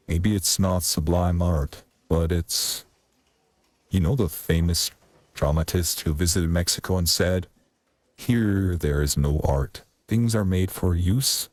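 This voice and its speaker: A man voicing a wistful, rambling theatre man in a video game, with a theatrical, deliberate and wordy manner. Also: low-pitched, a little mellow, husky, dry recording, exaggerated voice. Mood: earnest. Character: Carrington, wistful rambling male theatre man pleading his case.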